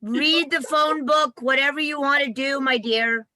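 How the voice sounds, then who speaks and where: A woman's voice: high voice, a little slow, pushed and bright. A woman on a group video call, playful and teasing in her remarks. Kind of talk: casual chat.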